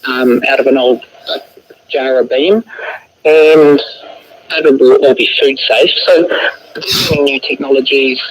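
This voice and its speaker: A man with a New Zealand accent explaining things hesitantly as a lecturer in a radio interview. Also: medium pitch. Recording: noisy shortwave radio playback.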